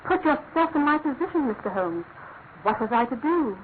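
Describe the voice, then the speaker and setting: Radio drama: upper-class English wife, highish voice, guilt-ridden and formal, narrow noisy sound. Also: female voice, big pitch swings. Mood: anguished, pleading. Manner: formal, pleading, emotionally halting. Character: anguished aristocratic English wife confessing a guilty secret. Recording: thin phone-like radio sound, clear noise.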